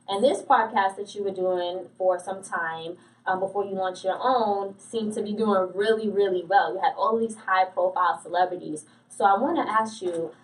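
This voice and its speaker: A woman's voice: mid-range voice, far from the mic, low-quality recording. A woman conducting an interview in a chatty, informal, conversational way. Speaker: interviewer, magazine journalist.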